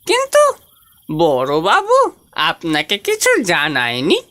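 A fearful, superstitious cartoon villager, played by a woman. The voice is piercingly bright and swoops wildly in pitch, dragging words out slowly in an exaggerated way, as in a horror tale. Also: huge pitch swings, heavily acted. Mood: wary, warning. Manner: exaggerated, drawn-out, swooping delivery.

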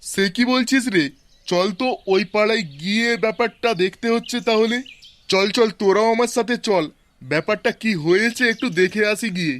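A man's voice: high voice, normal speed, a bit bright, a little hiss or hum. A man with a West Bengali accent voicing a bossy, grumbling village festival organiser in an amateur comic cartoon, brash and blustering. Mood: surprised, insistent.

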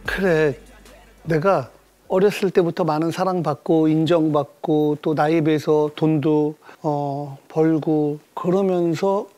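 A man's voice: fairly high pitch, slow pace, faint music behind. A man in his fifties, a guest in a casual chat over drinks, telling stories with big gestures in a small indoor bar set. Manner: animated storyteller, gestures broadly. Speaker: guest entertainer.

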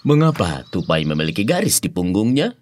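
A man narrating fairy tales as a storyteller, with loud music behind him. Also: sits low, very animated, fairly quick, slight background noise. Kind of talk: animated fairy-tale narration.

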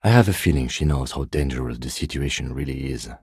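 An actor playing a reserved, principled middle-aged police detective in a video game, with a measured, dry and formal manner. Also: male voice, very deep voice, slightly rough, dry recording, heavily acted. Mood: calm, measured.

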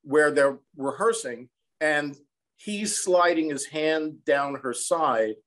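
A man's voice: fairly high pitch, slow pace, a bit bright. An American man in his sixties telling anecdotes in a reflective, conversational interview style over a video call. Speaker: songwriter and film composer, interview guest. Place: small room at home, indoors.

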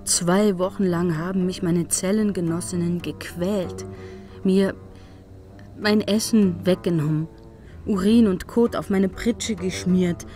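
An actress playing a wounded, pleading woman detective in a crime radio drama, narrating and speaking in a measured, hushed way. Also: fairly low pitch, faint music behind. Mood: pained confession.

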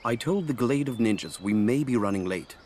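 A man's voice: mid-range voice, animated, brisk, darkish tone, a little hiss or hum, natural voice. A man playing a devoted, earnest butler in a video game, formal, deferential and dramatic. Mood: formal, businesslike.

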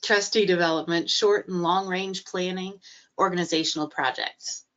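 A low-pitched middle-aged woman talking over a video call, her voice a bit stuffy from a cold. She hesitates often, circling back with fillers and restarts. The sound is muffled and dull. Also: steady tone, normal speed, a bit bright. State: recovering from a cold, a little stuffy. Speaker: guest speaker, library trainer. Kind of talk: webinar talk.

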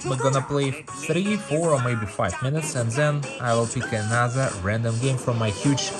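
A man's voice: medium pitch, normal speed. A man who speaks as a gives casual mobile game commentary in short fragmented phrases, often repeating words. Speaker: gaming video host. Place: indoor room, playing on a tablet. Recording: music playing behind the voice. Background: game music.

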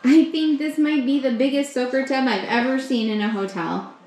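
A young woman giving an upbeat, slow walkthrough of a hotel suite, pointing things out as she goes, recorded on a handheld camera. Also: high voice, a little mellow, soft background music. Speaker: vlogger giving a room tour. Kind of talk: casual walkthrough narration. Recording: echoey rooms with faint ambience.

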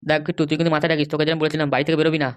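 Woman doing a low, rapid-talking stern cartoon father. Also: level tone, clear, resonant tone, close to an everyday voice. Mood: worried. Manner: admonishing. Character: protective, stern middle-aged father and politician. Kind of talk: comic cartoon dialogue.

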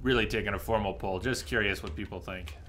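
Low-voiced man streaming a game. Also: fast, pushed and bright, slight background noise. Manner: conversational, reacting to chat. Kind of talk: casual live-stream gameplay commentary. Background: game sounds.

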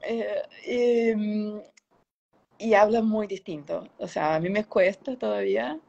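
A woman's voice: mid-range voice, slow pace, creaky, darkish tone. A woman in her thirties from Brazil speaks Spanish with a Brazilian Portuguese accent, reflective and hesitant as an interview guest searching for words, on a lo-fi video call. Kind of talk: casual, reflective interview answers. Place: indoor room, on a video call. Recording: dull, lo-fi video call sound.

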